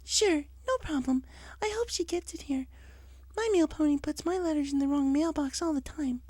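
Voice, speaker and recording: Male reader as kind female pegasus, very high pitch, soft, sweet, encouraging, storybook, dry sound. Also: moderate pace, no room sound. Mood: mild, friendly. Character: gentle, kind young female pegasus pony.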